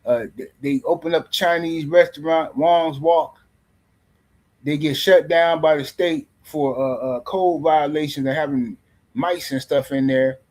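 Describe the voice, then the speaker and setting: A man's voice: on the high side, fairly even intonation, unhurried, darkish tone. A man hosting a boxing livestream in casual commentary, loose and teasing, rambling and repeating words as he reads chat messages. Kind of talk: casual live-stream commentary.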